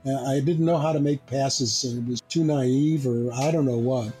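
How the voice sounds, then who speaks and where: A man's voice: mid-range voice, steady tone, moderate pace, dark tone, soft background music. A man sharing personal reminiscences in short, plain sentences. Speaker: discussion participant.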